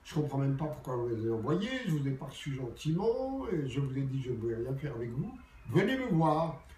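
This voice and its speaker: A man's voice: medium pitch, big pitch swings, fairly quick, darkish tone, lightly acted. A man voicing an imperious, elegant elderly Norwegian lady with a curt, commanding manner in a told anecdote. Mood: softened, insistent. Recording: roomy, distant mic, faint background noise.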